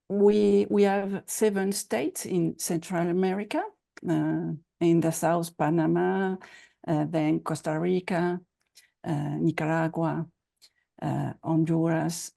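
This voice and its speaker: A woman lecturer speaks slowly through an academic presentation in a low, mellow, heavily gravelly voice. She hesitates constantly and fills pauses with small sounds. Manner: hesitant, with many filler sounds. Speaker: guest lecturer. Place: conference session.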